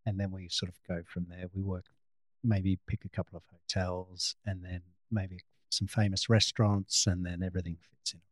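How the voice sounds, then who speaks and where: A man's voice: low-pitched, moderate pace, no echo. A man from Australia, a photographer, chatting casually as an interview guest, rambling and restarting his sentences. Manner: relaxed, rambling with frequent restarts.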